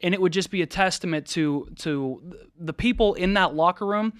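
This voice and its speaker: A man co-hosting a sports talk show in a studio, breaking down plays in a measured, analytical way. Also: sits high, fairly bright tone, clean tone, no room sound.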